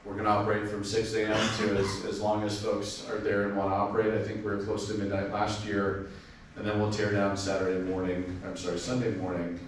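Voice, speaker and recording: Low-voiced man presenting in an echoey room. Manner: informal, chatty, with frequent fillers and restarts. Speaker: amateur radio club presenter. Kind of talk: club presentation. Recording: far-off mic in a very echoey room with faint noise.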